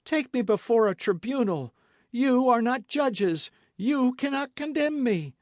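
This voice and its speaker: A man voicing a desperate condemned young woman who shrieks defiance and pleads for her life, high and strained. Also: a little slow, no echo, studio quality, lightly acted. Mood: pleading. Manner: shrill, cries out in high strained pleas.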